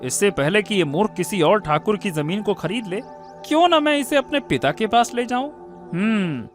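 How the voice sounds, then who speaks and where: Man acting arrogant young landlord's son, very high-pitched. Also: lively intonation, moderate pace, soft background music. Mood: scheming. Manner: haughty, scornful, demanding. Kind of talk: Hindi cartoon moral-story acting.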